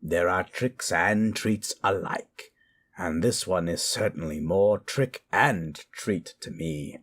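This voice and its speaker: Deep, slow, husky male horror storyteller. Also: fairly bright tone. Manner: theatrical, deliberate, savouring. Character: undead necromancer storyteller persona, wryly amused. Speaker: host reading scary stories. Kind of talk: theatrical horror storytelling and commentary.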